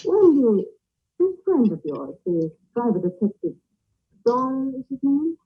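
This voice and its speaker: A woman's voice: sits high, big pitch swings, a little slow, a little hiss or hum. A woman playing a suave, scheming foreign ringleader in an old-time radio play, formal and insinuating, over a video call. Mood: coaxing. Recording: thin, phone-like video call audio.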